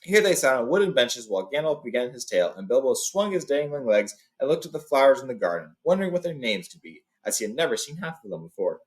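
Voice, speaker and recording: A man hosting a read-along, telling and reading a story in an informal, expressive way. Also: mid-range voice, fast talker, few pauses, low-quality recording. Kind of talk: storybook reading with casual retelling.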